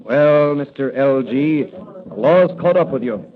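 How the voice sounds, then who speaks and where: An actor playing a confident masked crime-fighter with declamatory delivery in an old-time radio adventure. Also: male voice, medium pitch, animated, takes it slowly. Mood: bold, triumphant. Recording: tinny lo-fi vintage radio recording.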